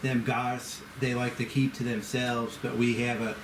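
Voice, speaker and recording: An elderly Southern gentleman boss, acted by a man at a table read, courteous and folksy with a conspiratorial streak. He drawls at a middling pitch in an echoey room with clear background noise. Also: little pitch movement, unhurried, close to an everyday voice. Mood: gossipy, grave. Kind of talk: amateur screenplay table read.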